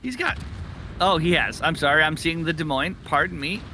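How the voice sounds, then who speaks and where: A man's voice: a little high, expressive, normal speed, sharp tone, soft background music. A man co-commentating live esports, emphatic and given to repeating phrases for effect.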